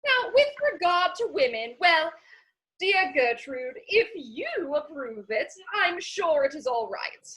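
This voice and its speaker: Very high-pitched, gushing society matron. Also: female voice, big pitch swings, slow pace, a bit bright, heavily acted, poor audio. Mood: gossipy, airy. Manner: gushing, rambling, theatrical. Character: chatty, scatterbrained society matron, gossipy Victorian lady. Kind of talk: stage comedy dramatic reading.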